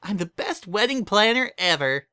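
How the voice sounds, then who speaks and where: Man as a perky cartoonish girl pony, very high-pitched, gushing, excitable, bright storybook voice. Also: normal speed, smooth, no echo, studio quality, heavily acted. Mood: excited, gushing. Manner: gushing, cheerfully dismissive. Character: bubbly, excitable young female pony party planner.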